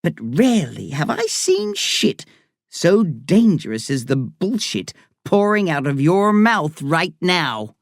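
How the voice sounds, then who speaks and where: A reader voicing a blunt but kind woman doctor with an Irish accent, earthy and warm in her talk. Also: mid-range voice, wildly swooping pitch, takes it slowly, vocal fry, no echo, clean studio sound, pitched above the performer's own voice, heavily acted. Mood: earnest. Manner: salty, earthy talk said with warmth. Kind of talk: audiobook character reading.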